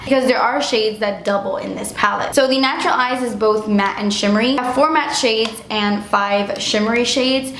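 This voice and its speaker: Young female vlogger, slightly high voice, effusive and chatty, voice worn from illness; roomy echo. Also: fairly bright tone, a little hiss or hum. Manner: gushing, chatty, lots of "like". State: losing her voice, getting sick. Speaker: beauty vlogger. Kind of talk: casual product review.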